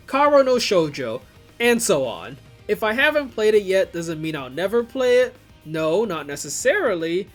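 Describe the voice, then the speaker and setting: A video creator giving scripted commentary in an informal, wry way. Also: medium pitch. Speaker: video creator reviewing visual novels.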